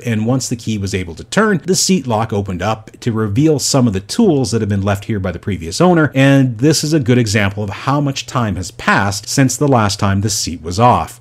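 A middle-aged man narrating his motorcycle restoration in a scripted voice-over, with a wry, animated delivery. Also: mid-range voice.

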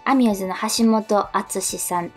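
A woman's voice: mid-range voice, moderate pace, faint music behind. A woman co-hosting a radio show, chatting casually but politely. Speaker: radio show co-host, stage actress.

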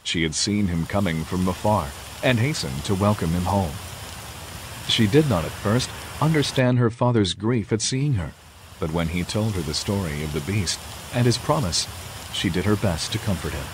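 A man's voice: sits low, expressive, normal speed, noisy background. A man reading a fairy tale as scripted narration, in the role of a storyteller.

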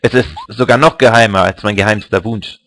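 Guy voicing a shy little brother reading his diary, halting, plain low voice. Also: steady tone, fairly bright tone, dry recording, lightly acted.

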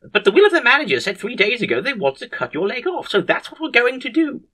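A man's voice: very high voice, huge pitch swings, unhurried, sharp tone, pitched above the performer's own voice, full character voice. A man voicing a flustered, stubborn, eager female head surgeon in a comic story.

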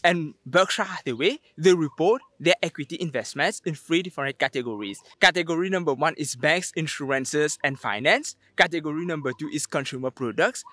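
A man's voice: a little high, fairly bright tone, no room sound. A young man presenting an investing explainer to camera, speaking emphatically. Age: young adult. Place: outdoors on a sandy beach.

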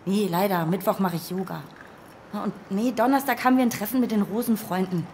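A woman's voice: medium pitch, brisk, darkish tone, slight background noise, close to an everyday voice. An actress in a radio play playing a stressed, irritable woman with a curt, exasperated way of talking. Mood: harried, evasive. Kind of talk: German radio play acting.

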